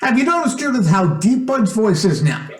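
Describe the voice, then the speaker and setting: A man playing a kindly, flustered father who speaks haltingly and reassuringly, in old-time radio drama style. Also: high voice, sing-song. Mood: genial, awkward. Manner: halting, stammering. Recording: echoey room with faint noise.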